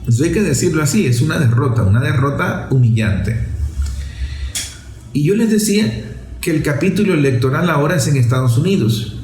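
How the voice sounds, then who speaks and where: A man giving unscripted political commentary as a show host, didactic and deliberate, repeating phrases for emphasis. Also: on the high side, lively intonation, a little mellow, a little hiss or hum. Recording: echoey room sound.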